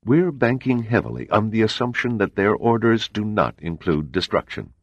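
A man voicing a calm male intelligence official who speaks impassively and evasively. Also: fairly low pitch, moderate pace, dry recording, highs cut off, pitched above the performer's own voice.

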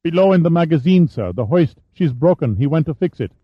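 A man voicing an eager seventeen-year-old boy serving as a ship's gunner, in a high, youthful voice. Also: expressive, a little fast, slightly rough, no room sound. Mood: earnest. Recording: dull, dry studio sound.

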